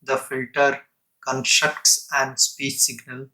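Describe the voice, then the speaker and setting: A man lecturing on technical material in a halting, explanatory way, breaking sentences into short phrases and restating terms. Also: medium pitch, monotone, pushed and bright, low-quality recording.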